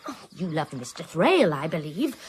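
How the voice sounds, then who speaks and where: A woman's voice: deep voice, very animated, moderate pace, slightly rough, full character voice, low-quality recording. An actress playing a proud, wounded 18th-century lady in a radio drama, speaking with reproachful, theatrical period diction.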